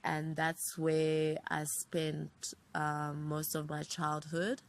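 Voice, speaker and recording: A woman in her thirties from Zambia speaks in a low register with a gravelly, fry-edged texture. She is a guest in a relaxed interview, reflective, and strings long lists of names together as she remembers. Her delivery stays unhurried and conversational. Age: 30s. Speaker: interview guest, writer and producer.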